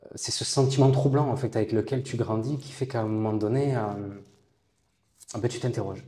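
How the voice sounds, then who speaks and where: A man's voice: mid-range voice, brisk, warm tone, roomy sound. Reflective man in his late thirties. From southern France. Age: late 30s. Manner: thoughtful, hesitant with many fillers and restarts. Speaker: interview guest. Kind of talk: reflective personal storytelling.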